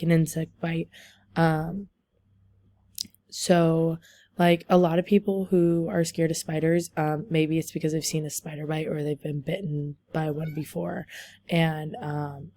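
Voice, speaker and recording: A young woman giving a class presentation over slides, losing her voice, talking haltingly with many ums and likes. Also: deep voice, warm tone. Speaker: student presenter.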